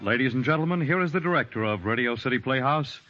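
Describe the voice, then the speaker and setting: American radio announcer over loud music. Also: male voice, mid-range voice, animated, fast. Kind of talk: formal 1940s network announcing. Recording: dull old radio recording, loud music.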